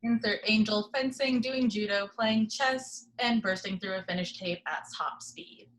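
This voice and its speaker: A woman reading a screenplay's action descriptions in a plain, steady way. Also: mid-range voice, a little slow, slight background noise, low-quality recording. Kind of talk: reading a screenplay's stage directions.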